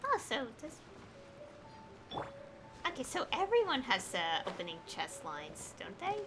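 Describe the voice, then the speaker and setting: Animated woman streaming a game, music behind. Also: high-pitched, unhurried. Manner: rambling, thinking aloud. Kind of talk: casual livestream gameplay commentary. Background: video game music.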